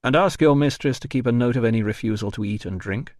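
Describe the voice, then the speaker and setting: A man voicing a gruff, offhand tavern doorman who talks brusquely and dismissively. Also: medium pitch, quick pace, darkish tone, no room sound, clean studio sound, lightly acted.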